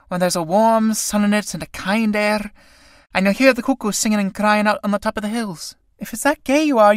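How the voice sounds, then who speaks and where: A woman's voice: a little high, dry recording, clean studio sound, pitched above the performer's own voice, close to an everyday voice. A woman reading a play aloud and voicing a sly, drink-loving old tinker woman with a mocking, wheedling manner.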